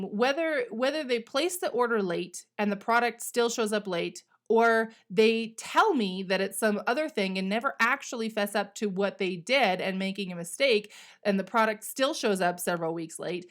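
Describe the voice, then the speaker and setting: A woman hosting a solo podcast, talking casually and candidly in a conversational, emphatic way with some salty language. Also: fairly high pitch. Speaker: podcast host.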